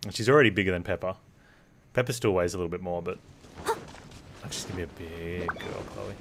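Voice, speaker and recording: A man's voice: deep voice, fairly quick, gravelly, fairly bright tone, a little hiss or hum. A man chatting casually as he streams a video game. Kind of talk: casual livestream chat.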